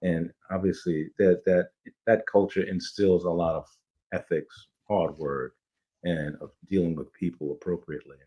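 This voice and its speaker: Enthusiastic low-voiced middle-aged man. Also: steady tone, moderate pace, warm tone, husky. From Jamaica, raised in New York. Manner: enthusiastic, rambling with restarts. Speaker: playwright, guest. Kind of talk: casual interview storytelling.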